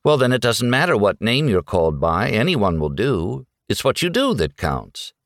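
A man's voice: on the low side, sing-song, normal speed, fairly bright tone, no echo, studio quality, voice pushed up. A man voicing a sardonic, morose companion who talks in a matter-of-fact, testy way in a story reading. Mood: mild.

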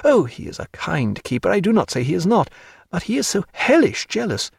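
Man doing a proud young woman, high raised voice, husky, very lively melody, theatrical audiobook reading. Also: a little fast, no echo, clean studio sound, exaggerated voice. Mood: bitter. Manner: fiery, theatrical, shifting from bitter to tender. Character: spirited, proud young woman in a kept life.

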